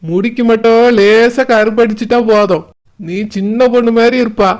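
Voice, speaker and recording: A woman's voice: a little high, steady tone, moderate pace, a little mellow, lightly acted. A woman playing a boastful, sentimental old grandfather in a comic cartoon, with a Kanyakumari Tamil accent. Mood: fond.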